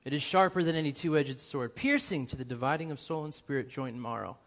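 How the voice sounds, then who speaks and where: A young adult man with a faint Boston accent, preaching a lively church sermon and joking with the congregation. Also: mid-range voice, brisk. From New England, US. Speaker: guest preacher.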